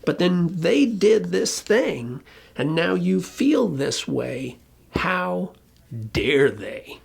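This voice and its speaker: A presenter giving an informal explainer talk in a colloquial, emphatic way, with a gravelly, husky voice. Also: mid-range voice, big pitch swings, very slow, darkish tone. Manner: colloquial, emphatic, occasionally swearing. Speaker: presenter explaining relationship conflict.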